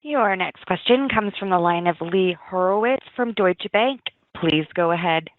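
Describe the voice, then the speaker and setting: A woman with a slightly low voice announces callers as a conference operator. Her scripted lines come over a dry, narrow phone connection. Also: expressive, normal speed, no echo. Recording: dry tinny phone line.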